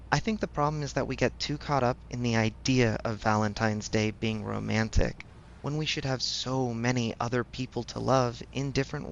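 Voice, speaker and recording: Supportive male best friend played by a man, conversational audio roleplay, frank and gently teasing, creaky mid-pitched voice, faintly noisy recording. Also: muffled recording, lightly acted.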